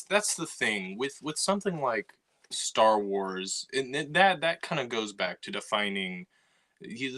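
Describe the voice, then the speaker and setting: Chatty podcast co-host, mid-pitched bright male voice, casual delivery with frequent restarts. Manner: talkative, lots of "you know" and restarts. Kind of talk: casual chat.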